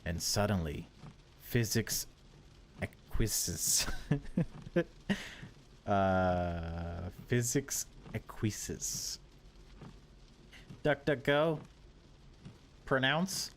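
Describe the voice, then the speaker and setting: A man narrating a horror visual novel in a measured, unhurried way, with casual asides as a gaming video host. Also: fairly low pitch, lively intonation, a little hiss or hum.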